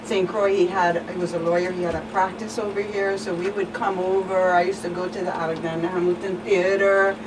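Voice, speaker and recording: A woman's voice: lowish voice, flat delivery, a little fast, few pauses. Woman interview guest, distant and noisy. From US Virgin Islands. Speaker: guest psychologist. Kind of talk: interview conversation.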